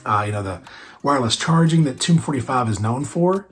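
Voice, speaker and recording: A hoarse man hosting a barber podcast, chatting casually on his own with lots of fillers and restarts. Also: mid-range voice, expressive, fairly quick, soft background music. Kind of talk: casual solo podcast chat.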